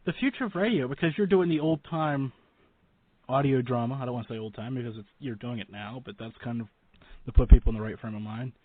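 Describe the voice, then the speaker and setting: A man's voice: medium pitch, fast talker. A man hosting a radio show, interviewing in a casual, chatty way. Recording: dry but narrow lo-fi audio.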